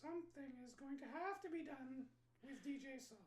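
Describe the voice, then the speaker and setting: A man voicing an eager young agent in a soft, breathy falsetto. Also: moderate pace, echoey room, low-quality recording. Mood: earnest.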